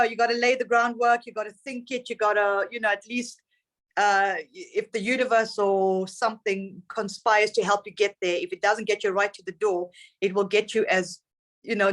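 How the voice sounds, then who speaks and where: Guest: middle-aged woman from Zimbabwe, singer, chatty and reflective storyteller. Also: mid-range voice. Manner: warm, reflective storytelling with laughs. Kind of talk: casual interview chat.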